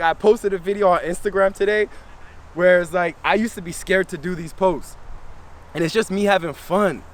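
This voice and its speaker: A young man hosting a wellness channel, talking casually and earnestly to camera, repeating phrases for emphasis in relaxed slangy speech. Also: high-pitched, slight background noise. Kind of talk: casual motivational talk to camera.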